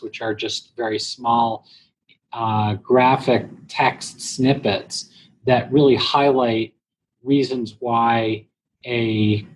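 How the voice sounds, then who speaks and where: A man with a mid-pitched voice answers questions as a guest on a business interview. He explains things in a measured way, pausing and feeling for the next phrase. Noise is clearly audible behind him. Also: a little mellow, poor audio. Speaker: guest, internet marketing company president.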